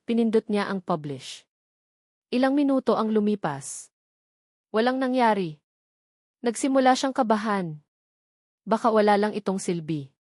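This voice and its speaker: A woman with a Filipino accent narrates a scripted romantic drama. Her voice sits at a medium pitch for a woman, and the sound is dry, with hardly any room in it. She delivers it as storytelling, in full written sentences. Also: darkish tone, no room sound.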